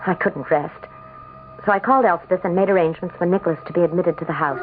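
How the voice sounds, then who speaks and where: An actress playing a vain, desperate, scheming politician's wife in a melodramatic radio drama, with theatrical, anguished and imperious delivery. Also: female voice, on the low side, fast, slight background noise, natural voice. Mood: tense. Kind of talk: melodramatic radio drama acting and first-person narration. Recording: muffled lo-fi vintage radio broadcast.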